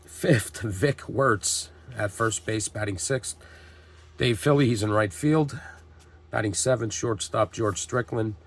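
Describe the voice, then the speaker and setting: A man hosting a tabletop baseball game, reading lineups and calling plays like a broadcaster while getting over a cold. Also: mid-range voice. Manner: steady, reads lineups and calls plays like a broadcaster. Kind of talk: play-by-play narration of a dice game.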